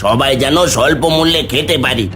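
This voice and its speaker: A man voicing a defiant village market vendor in a cartoon, arguing forcefully. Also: medium pitch, brisk, faint music behind, lightly acted. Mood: triumphant. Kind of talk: cartoon character dialogue.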